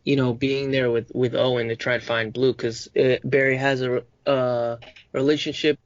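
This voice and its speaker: A man from Uruguay chatting on a podcast, earnest and halting with many fillers. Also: mid-range voice, little pitch movement, no room sound. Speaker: podcast panelist. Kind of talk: casual chat. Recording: dull, dry lo-fi mic.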